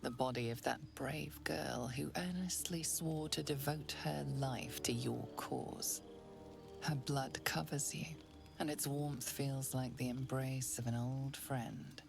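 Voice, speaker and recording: Sinister game narrator, very deep, gravelly, unhurried, intimate, music behind. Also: female voice, steady tone, heavily acted. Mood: grave, ominous. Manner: measured, ominous, intimate. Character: grave, sinister female storyteller of a dark fantasy. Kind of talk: scripted second-person dark fantasy narration.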